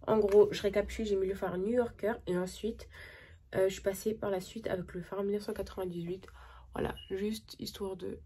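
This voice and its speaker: A young woman with a cold, chatting excitedly and casually through a makeup tutorial, with lots of slang and trailing sentences. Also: medium pitch, breathy edge. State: has a cold. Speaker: makeup vlogger.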